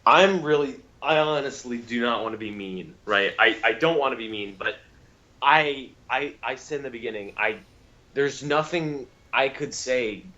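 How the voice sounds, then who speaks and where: A man co-hosting a podcast in casual, blunt chat, halting and repeating words emphatically, on slightly dull audio. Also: medium pitch, pushed and bright. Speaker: podcast co-host reviewing audio dramas. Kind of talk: casual, sweary review chat.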